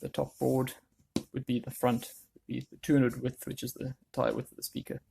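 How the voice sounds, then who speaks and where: A man with a gravelly voice explaining a woodworking job casually, thinking aloud as he works. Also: mid-range voice, flat delivery, brisk, dark tone, slight background noise. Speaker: DIY woodworking video host. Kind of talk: casual hands-on tutorial talk.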